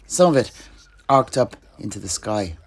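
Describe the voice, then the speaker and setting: A man playing a wistful alchemist and truth-sayer, recounting his homeland in measured, dramatic, poetic fantasy storytelling. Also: lowish voice, big pitch swings, gravelly, full character voice. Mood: awed, grave.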